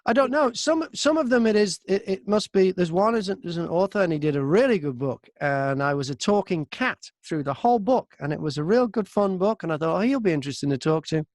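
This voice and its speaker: A man chatting casually in an interview as a narrator, telling stories in an animated, talkative way from inside a wardrobe booth. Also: sits high, fairly quick, hardly any pauses, dry recording, clean studio sound. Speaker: audiobook narrator and voice-over artist, interview guest.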